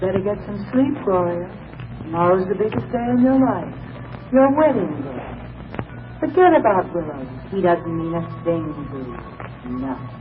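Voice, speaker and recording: A fretful inner voice, thin old radio. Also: female voice, on the low side, very animated, moderate pace, narrow, tinny audio. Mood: indignant, soothing. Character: young woman's fretful inner voice, nagging and insistent. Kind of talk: 1940s radio acting. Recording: distant, thin old radio, music behind.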